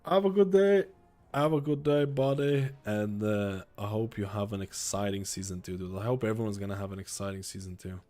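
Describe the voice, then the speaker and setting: A man's voice: lowish voice, fairly even intonation, a little fast, dark tone, faint music behind. A young man giving casual, joking gameplay commentary, rambling and swearing as he plays. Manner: rambling, joking, swears and says bro a lot. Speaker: game streamer.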